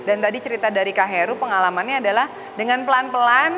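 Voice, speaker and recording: A woman's voice: on the high side, quick pace, long reverb tail, over music. A woman hosting a talk show, chatting casually with guests and prompting them with many fillers. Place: studio set styled as a family room.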